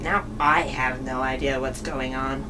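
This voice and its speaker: A woman's voice: a bass voice, fairly even intonation, unhurried. A woman playing a hapless, bewildered young male defense attorney in a puppet show, hesitant and easily flustered. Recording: distant microphone, noisy room.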